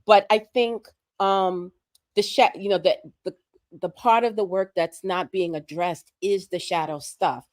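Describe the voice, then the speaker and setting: Hoarse woman making earnest points. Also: lowish voice, steady tone, a little slow, darkish tone, no room sound, clean studio sound. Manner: earnest, emphatic, building points through repetition. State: hoarse from a respiratory virus. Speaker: guest commentator. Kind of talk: livestream conversation.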